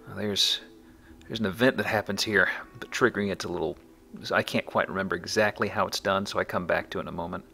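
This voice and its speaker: A man's voice: deep voice, fairly even intonation, fast talker, gravelly, sharp tone, soft background music. A man hosting a let's play, giving casual, conversational game commentary with wry, jokey asides.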